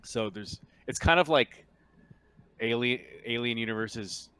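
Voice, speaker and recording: A man narrating a tabletop game as game master, in a halting, informal, conversational way. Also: medium pitch, bright, forceful tone, slight background noise.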